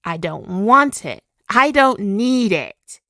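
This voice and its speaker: A woman's voice: mid-range voice, very animated, sharp tone, no echo, clean studio sound, heavily acted. A woman playing a spirit villain possessing a woman's body, obsessive and tormented, in a theatrical, brooding ASMR roleplay monologue. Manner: slow, theatrical, brooding, dwelling on words.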